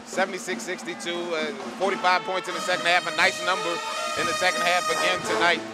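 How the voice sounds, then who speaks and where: A man's voice: sits high, flat delivery, over loud music, low-quality recording. A man hosting a postgame sports interview from courtside in a large arena, on a headset mic, reading out stats. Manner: brisk, reads out stats. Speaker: postgame radio host.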